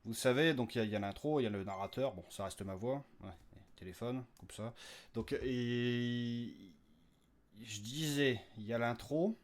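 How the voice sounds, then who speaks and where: A man's voice: mid-range voice, moderate pace. A man hosting his own podcast, giving listeners a casual, off-the-cuff update in a rambling, jokey, slangy way. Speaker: podcast creator and host.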